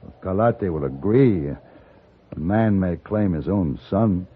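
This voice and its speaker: An actor playing a calm, principled gunfighter with an American accent in a radio western, speaking in a measured, articulate, firm way. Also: male voice, sits low, breathy edge, heavily acted. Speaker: lead actor and narrator. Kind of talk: old-time radio western acting. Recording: tinny old radio recording with hiss.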